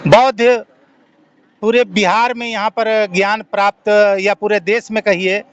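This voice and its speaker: A man's voice: high voice, flat delivery, measured pace, clear, resonant tone, muffled recording. A man with a Bihari accent speaking spontaneously as an interviewee, making his case as an activist with rhetorical questions. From Bihar, India. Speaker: interviewee, social activist and former government officer.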